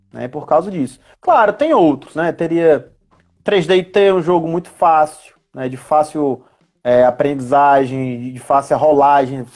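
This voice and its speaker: A man's voice: on the high side, darkish tone. A man with a Brazilian accent speaking as a guest expert in a casual live chat, explaining didactically with frequent tag questions. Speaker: guest expert on tabletop role-playing games.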